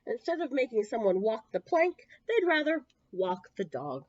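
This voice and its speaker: A woman's voice: fairly high pitch, sing-song, moderate pace, darkish tone, no room sound. Woman reading a storybook, dry muffled recording. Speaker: children's storyteller at a public library. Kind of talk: expressive picture-book read-aloud for young children. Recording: dull lo-fi, close dry sound.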